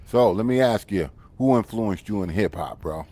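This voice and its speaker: A man co-hosting a podcast, relaxed and giving short murmured replies. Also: fairly low pitch, normal speed. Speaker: co-host. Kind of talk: casual chat.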